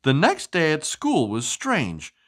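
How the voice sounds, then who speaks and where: Low-voiced woman with hugely animated reading. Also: takes it slowly. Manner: expressive, sing-song rhymed reading. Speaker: storybook reader. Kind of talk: animated read-aloud for a young child.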